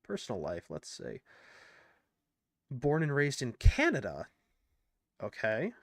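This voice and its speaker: A man's voice: medium pitch, wildly swooping pitch, slow pace, no room sound, clean studio sound. A man commenting on clips in a casual, indignant way, thinking aloud with lots of fillers and restarts. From United States.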